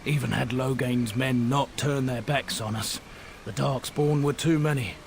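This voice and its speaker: An actor playing a wounded, guilt-ridden royal guard turned deserter in a fantasy video game, speaking in a weary, halting, mournful way. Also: male voice, medium pitch, fairly even intonation. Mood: haunted, regretful. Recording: game audio with clear background noise.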